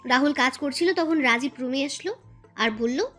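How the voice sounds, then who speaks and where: Woman, story narrator, high pitch, scripted reading, soft background music. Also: moderate pace.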